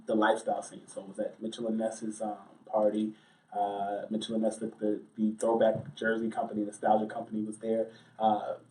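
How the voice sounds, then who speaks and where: A talkative American man answers interview questions in anecdotes, with a middling pitch. The room rings noticeably around his voice, and he sits a little back from the microphone. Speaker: interview guest, sports journalist. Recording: roomy, distant mic.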